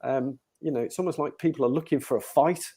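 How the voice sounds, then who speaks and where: Italian-born male host, mellow voice, loose rambling delivery over a video call. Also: mid-range voice, quick pace, slightly rough. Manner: rambling, many fillers and restarts. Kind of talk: casual video call chat.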